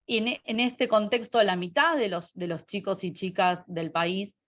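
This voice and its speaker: An Argentine woman giving a webinar presentation in a halting way, often hesitating and repeating words. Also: medium pitch. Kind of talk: presentation with slides. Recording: slightly dull webinar audio.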